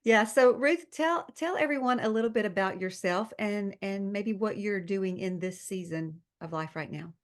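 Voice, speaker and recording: A woman's voice: mid-range voice, normal speed, few pauses. A woman pastor hosting a faith podcast, chatting in an encouraging, upbeat way. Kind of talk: casual faith podcast chat.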